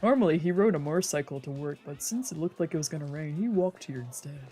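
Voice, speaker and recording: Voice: mid-range voice, lively intonation, fast talker, mellow, breathy edge. A streamer reading a visual novel aloud as narration, dramatically, with casual asides. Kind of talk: let's play narration with improvised character voices. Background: faint game music.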